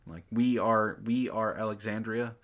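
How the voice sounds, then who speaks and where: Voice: medium pitch, steady tone, unhurried. A sarcastic, exasperated book club host who swears freely, reading aloud mockingly and breaking off for casual commentary.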